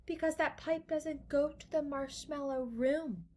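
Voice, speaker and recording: A woman voicing an eccentric, excitable storybook gentleman who speaks with theatrical emphasis and breezy reassurance. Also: sits high, unhurried, a little hiss or hum, voice pushed up. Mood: amused, reassuring.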